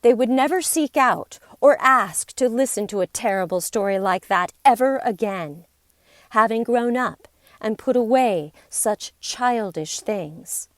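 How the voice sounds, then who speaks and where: A woman narrating a scripted story in a deliberate, theatrical way, with dramatic pauses and emphasis. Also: a little high, lively intonation, no room sound, clean studio sound. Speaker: podcast storyteller.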